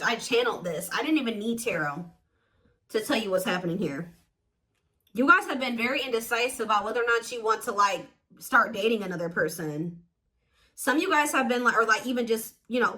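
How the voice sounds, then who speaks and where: Higher-voiced woman giving a tarot reading. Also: bright, forceful tone, rough recording. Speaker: tarot reader, host. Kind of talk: casual intuitive tarot reading addressed to viewers.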